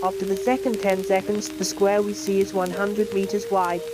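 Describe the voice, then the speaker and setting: A woman's voice: mid-range voice, level tone, moderate pace. Synthetic female science film narrator over music. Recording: music playing under the voice.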